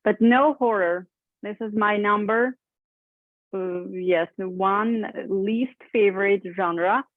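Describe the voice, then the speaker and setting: A woman's voice: mid-range voice, takes it slowly, dry recording. A chatty woman leads a casual conversation club over a video call. Her voice comes through thin and phone-like, with a dry, close sound. She laughs easily and keeps drawing the others in. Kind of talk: casual group chat in English.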